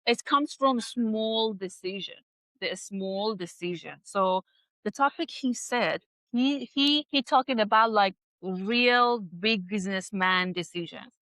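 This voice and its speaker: A woman's voice: mid-range voice, slow pace, a bit bright, no room sound. A woman in her thirties, English not her first language, co-hosting a casual chat with non-native grammar and repeated words as she searches for phrasing. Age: 30s. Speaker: podcast co-host.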